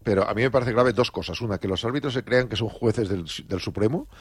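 A man, a radio presenter arguing a sports opinion, fast and indignant. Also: on the low side, husky, no echo.

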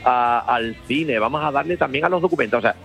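A man's voice: mid-range voice, quick pace, gravelly, fairly bright tone, soft background music. A man, a festival organizer talking enthusiastically in a casual radio interview, rambling with many restarts.

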